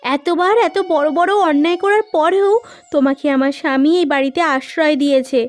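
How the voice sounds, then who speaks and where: A woman plays a wronged, distressed wife in a cartoon melodrama. Her voice is extremely high, pleading and indignant. Music plays underneath. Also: fairly even intonation, moderate pace, lightly acted. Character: distressed, indignant wife of missing farm owner.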